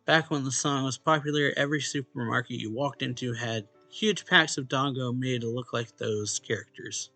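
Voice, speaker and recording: A man's voice: medium pitch, moderate pace, hardly any pauses, pushed and bright. A man reading a visual novel's narration and dialogue aloud expressively, as a let's play host. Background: game music.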